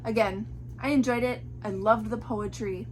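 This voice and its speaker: A woman's voice: on the high side, steady tone, normal speed. Young woman, casual book vlogger, cold in her voice, chatty and filler-heavy; noisy recording with hum. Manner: chatty, rambling with many fillers. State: fighting a cold. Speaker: book reviewer. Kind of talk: casual book review vlog.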